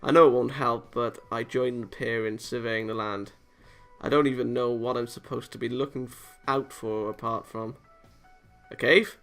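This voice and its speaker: A man narrates a visual novel as a let's play. His voice is forceful and gravelly, and he drops in casual swearing as he stumbles through lines. Music plays faintly underneath. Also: medium pitch, steady tone, moderate pace. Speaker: let's player.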